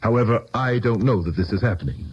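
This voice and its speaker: Radio drama part: powerful, doubting boss, bass register, rough tone, methodical and formal, dulled period sound. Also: male voice, fast. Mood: dryly skeptical. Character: skeptical, powerful political boss puzzling things out. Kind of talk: 1970s radio mystery acting. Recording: muffled vintage radio broadcast.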